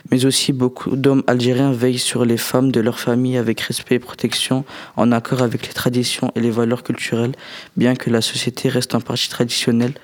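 A young man of Algerian origin reading a prepared talk on a radio show, steady and careful. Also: medium pitch. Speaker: guest presenting a testimony.

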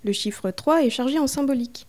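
A woman's voice: high-pitched, brisk, a little mellow. A woman interviewer reads out long, prepared questions in a formal, careful manner.

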